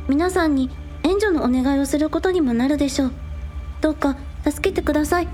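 A woman's voice: high-pitched, a little fast, faint music behind, natural voice. A young woman playing a bubbly, earnest teenage girl idol in a Japanese audio drama. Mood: earnest reporting. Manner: excitable, cute, earnest.

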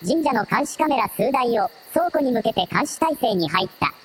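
A woman's voice: a little high, expressive, normal speed, husky. A woman playing an earnest male computer companion, clipped, formal and easily flustered, in a scripted audio drama. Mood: businesslike. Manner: clipped, formal, analytical, stammers when flustered.

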